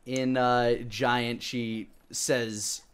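A man in his thirties improvising narration as a dungeon master, pausing to think as he goes. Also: mid-range voice, level tone, smooth. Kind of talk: improvised tabletop game narration.

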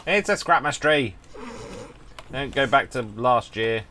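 Lively bright-voiced middle-aged dad gamer. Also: male voice, mid-range voice, slow, a little hiss or hum. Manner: cheerful, dryly self-mocking, easily surprised. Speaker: father and co-host playing a game. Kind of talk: casual gaming chat.

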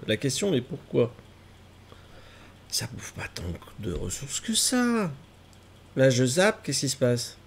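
A man in his thirties with a cold, chatting casually to his live-stream viewers at home while fixing tech problems and gaming. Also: fairly high pitch. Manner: chatty, self-mocking, fussing over tech trouble. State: stuffy with a cold. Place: small room at home, gaming chair.

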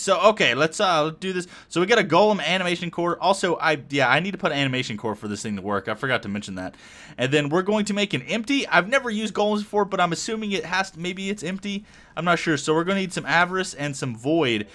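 A young man casually narrating his Minecraft play, rambling and thinking aloud with lots of fillers, his voice a little hoarse. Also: on the high side, bright, forceful tone. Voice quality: hoarse-sounding. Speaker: Minecraft let's play host. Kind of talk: casual gameplay commentary.

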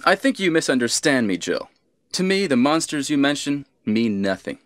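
An actor playing a cold, scheming villainous commander in a video game, deliberate, condescending and menacing in stilted cutscene delivery. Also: male voice, mid-range voice, big pitch swings, fairly bright tone, no room sound, clean studio sound.

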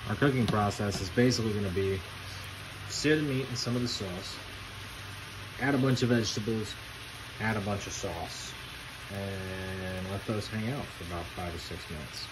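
A man's voice: fairly low pitch, moderate pace, pauses often, noisy background. A young man casually talking through a home cooking video, rambling with plenty of fillers. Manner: relaxed, rambling, many fillers. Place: home kitchen.

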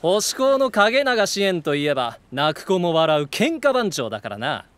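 Cocky teen brawler in a school drama: rough sneer, fairly high pitch, very animated. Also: male voice, moderate pace, no echo. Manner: rough, sneering, brash slangy talk. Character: cocky, hot-tempered teenage male delinquent brawler. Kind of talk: Japanese voice drama acting.

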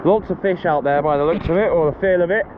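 A man's voice: on the high side, quick pace, phone-quality sound. A man talking casually and enthusiastically in slang as a fishing vlogger, recorded outdoors on a rainy seashore with waves behind him. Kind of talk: casual vlog commentary to camera. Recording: loud surf, wind and rain noise. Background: waves and surf.

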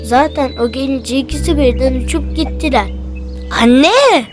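An actress voicing a curious, excitable young child in a cartoon, wide-eyed and eager with questions. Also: female voice, high-pitched, measured pace. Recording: music playing behind the voice.

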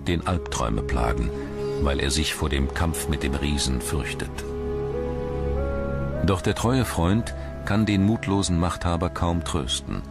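A man reading scripted documentary narration in a measured, articulate storytelling manner. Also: a bass voice. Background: music.

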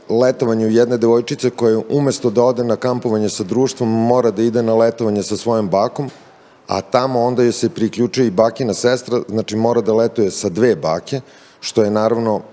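Low, dark-voiced middle-aged man in a hall. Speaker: film director. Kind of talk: interview answers. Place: festival press area, large hall. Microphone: handheld mic. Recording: hall reverb.

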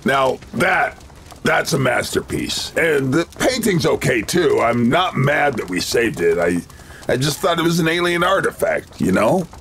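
A man voicing a cocky, wisecracking American action-hero soldier in a video game, with deadpan swagger. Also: mid-range voice, unhurried, creaky, a little hiss or hum, full character voice. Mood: disappointed, joking. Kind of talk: video game cutscene acting.

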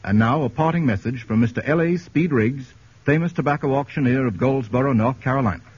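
A man's voice: medium pitch, brisk, husky. A man hosting a radio quiz show in the style of the 1940s. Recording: muffled, scratchy 1940s radio broadcast.

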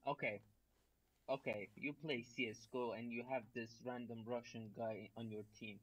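A young man in casual voice chat while gaming, quick to object and argue. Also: medium pitch, fairly even intonation, a bit bright, rough recording. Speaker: gamer. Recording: game gunfire clearly behind the voice.

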